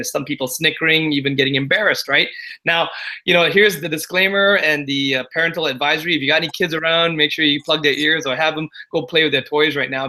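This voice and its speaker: A man's voice: fairly high pitch, bright, forceful tone. A man from Singapore in his forties, talking as a guest in an interview in a chatty, confiding way, often checking in with "right?" and "you know". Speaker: guest entrepreneur. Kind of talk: casual interview talk.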